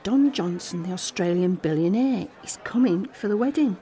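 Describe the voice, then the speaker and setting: A woman voicing a bitter, determined middle-aged mother in an audio drama monologue, with deliberate, emphatic delivery. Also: on the low side, animated, mellow, a faint crowd. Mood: bitter, upset. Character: Alex's mum, middle-aged, bitter, determined, grieving wife.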